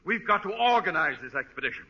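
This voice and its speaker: A blustering middle-aged school principal from a 1940s radio sitcom. His voice is very sharp and swings widely in pitch as he pompously commands and sputters. The old recording is muffled. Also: expressive, fast talker, husky, full character voice. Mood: pompous, commanding. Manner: pompous, sputtering when outraged. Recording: vintage radio, dull, reverberant, hissy.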